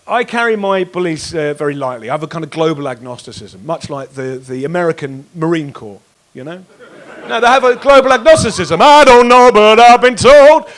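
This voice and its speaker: A man doing a stand-up set in a comedy club, sardonic and sweary, shouting punchlines at the crowd on a handheld stage mic. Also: high-pitched, lively intonation, brisk, poor audio. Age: 30s. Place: dark comedy club stage with live audience.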